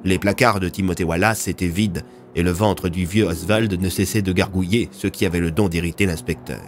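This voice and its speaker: Un homme qui raconte une fiction audio, en narration dramatique écrite. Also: male voice, sits low, steady tone, a little fast, soft background music. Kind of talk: scripted dramatic story narration.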